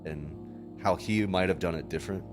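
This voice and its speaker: A man with a deep voice chats as a player in a tabletop game, casually laying out his character's actions. Music plays clearly behind him. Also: moderate pace.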